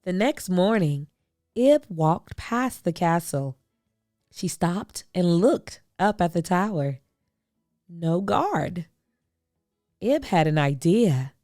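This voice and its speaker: A woman reading a children's storybook aloud, expressively, with deliberate phrasing and dramatic pauses. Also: on the low side, very animated, a little mellow, dry recording, clean studio sound, faint music behind. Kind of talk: expressive picture-book read-aloud.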